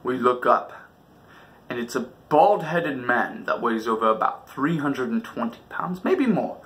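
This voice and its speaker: A man's voice: mid-range voice, lively intonation, measured pace, a bit bright. A man hosting a storytime, telling personal tales in a casual, dramatic way and acting out the moments. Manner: dramatic, casual, acts out dialogue and sounds. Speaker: storytelling channel host.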